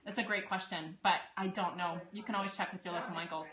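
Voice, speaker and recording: A woman's voice: on the low side, fairly even intonation, a crowd in the background. A woman hosting a casual live product stream, chatty and bubbly, answering viewer questions. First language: English. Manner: bubbly, chatty, quick to laugh. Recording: echoey room, faint noise.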